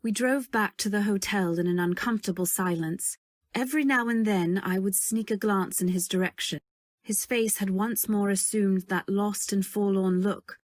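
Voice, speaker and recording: A woman narrating and acting in an old-time style radio drama, with a gentle, earnest and hesitant way of speaking. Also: mid-range voice, no room sound, studio quality. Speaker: narrator and lead actress.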